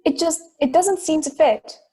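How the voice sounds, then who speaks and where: Woman as doubting, orderly juror, high pitch, reasoning manner, amateur audio drama. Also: moderate pace, warm tone, close to an everyday voice. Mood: questioning. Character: calm, questioning juror who doubts and keeps order.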